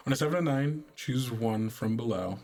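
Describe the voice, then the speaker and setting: A man's voice: mid-range voice, moderate pace, darkish tone. A man acting as game master, improvising narration in a conversational way full of filler words.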